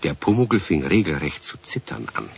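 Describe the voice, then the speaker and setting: A man acting as the calm narrator of a children's radio play, telling the story. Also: extremely low pitch, moderate pace, creaky, breathy edge, heavily acted. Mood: storytelling. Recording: dull 1970s radio recording.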